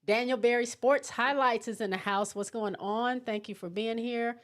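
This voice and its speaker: A woman with a soft voice hosting a live sports talk stream, reading viewer comments in a chatty, laughing way. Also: a little high, fairly even intonation, moderate pace. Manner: chatty, laughs often, restarts sentences. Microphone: mixer setup.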